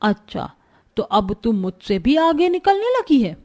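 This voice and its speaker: A woman's voice: on the high side, very animated, unhurried, darkish tone. A woman voicing a controlling old grandmother-in-law who scolds and commands in a cartoon story. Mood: stern, scornful. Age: older. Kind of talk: Hindi cartoon story voice acting.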